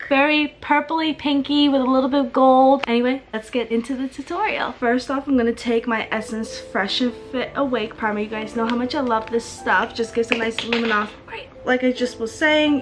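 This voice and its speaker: A woman's voice: fairly high pitch, fairly bright tone. A woman in her twenties with an American accent chats casually and informally through a makeup tutorial, recorded indoors in a bedroom. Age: 20s. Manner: informal, self-deprecating, sprinkles in swearing. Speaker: beauty vlogger.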